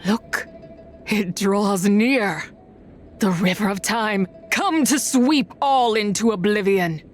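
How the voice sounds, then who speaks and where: A woman's voice: mid-range voice, slow, faint music behind, lightly acted. An actress playing a wrathful ancient immortal woman in a video game, solemn and imperious, with archaic, formal phrasing. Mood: ominous threat. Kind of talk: dramatic game voice acting.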